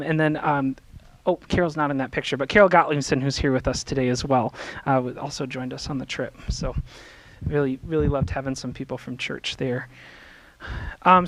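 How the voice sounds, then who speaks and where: A man with a fairly high voice talks a congregation through his slides. He is casual and halting, full of fillers, and the sound is close and dry. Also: fairly bright tone, no room sound. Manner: halting, many fillers and restarts. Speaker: resident minister presenting. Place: church meeting room. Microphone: handheld microphone.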